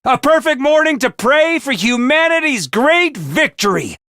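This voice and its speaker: An actor playing a theatrical male academy commander who swings from booming cheer to menace, in a game. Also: very animated, unhurried, sharp tone, no room sound, full character voice. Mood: rousing. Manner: booming, over-the-top cheer. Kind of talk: video game voice lines.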